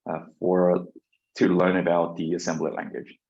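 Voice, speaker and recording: A man's voice: low-pitched, monotone, moderate pace, creaky, darkish tone. A man whose first language is Korean, giving a university lecture with many fillers and restarts. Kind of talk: classroom lecture. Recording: dull lo-fi lecture recording.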